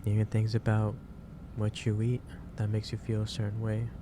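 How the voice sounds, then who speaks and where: Low-voiced, halting gentle boss played by a man. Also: completely flat delivery, close to an everyday voice. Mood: gently concerned. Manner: halting, repeats and restarts phrases. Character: gentle, caring office boss lecturing a tired worker. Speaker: ASMR roleplay performer. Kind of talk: soft-paced ASMR roleplay monologue. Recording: clearly noisy background hiss or ambience.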